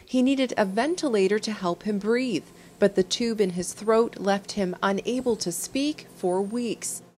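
A woman's voice: mid-range voice, measured pace, darkish tone, a little hiss or hum. A woman narrating a news report from a script.

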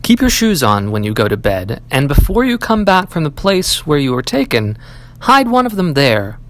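A man reads as a stern fairy-tale king. He speaks with authority and cunning, his pitch moving in a lively way. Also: normal speed. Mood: scheming. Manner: authoritative, advising. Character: stern, scheming old-tale king, father of princess.

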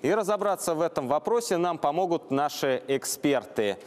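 Fairly high-voiced male talk show host. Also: animated, moderate pace, faint music behind. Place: TV studio, standing.

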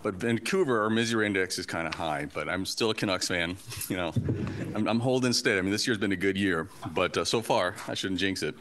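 Male speaker, low voice, Portuguese first language, raised in Canada; lively and chatty conference lecture, faint noise under it. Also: a little fast. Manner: lively, chatty, joking asides. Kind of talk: academic conference talk. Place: lecture hall.

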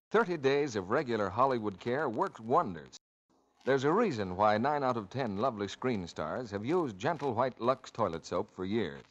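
Animated male radio announcer. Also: medium pitch. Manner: polished, promotional.

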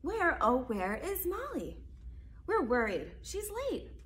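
A woman's voice: high voice, huge pitch swings, slow pace, a bit bright, pitched above the performer's own voice, full character voice. A woman voicing a child's anxious, fretting parents in a storybook, in a sing-song way. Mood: worried. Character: fretting worried parents calling out.

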